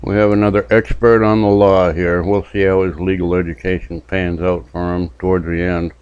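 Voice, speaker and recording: A man's voice: low-pitched, little pitch movement, moderate pace, darkish tone, clean tone, lo-fi sound. A man from New Hampshire hosting a casual reaction commentary channel, making dry, sardonic remarks. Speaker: YouTube commentary host.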